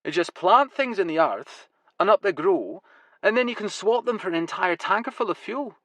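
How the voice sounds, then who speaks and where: A wild-eyed Glasgow grower with a raspy, high-pitched voice, as a male narrator puts him on. He talks in an eager, proud rush that swings up and down. The storybook reading sounds dry and close. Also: big pitch swings, moderate pace, a bit bright, no echo. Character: wiry older Glasgow man, excitable cannabis grower.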